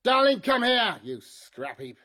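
A man playing a fussy, quick-tempered inventor who fiddles with his robot and barks orders at it. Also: on the high side, sing-song, slightly rough, exaggerated voice. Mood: bossy.

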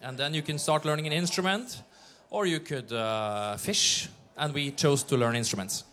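Norwegian man, bright tone, playful, hesitant onstage banter. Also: a little high. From Norway. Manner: playful, hesitant, drawn-out fillers. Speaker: accordion player.

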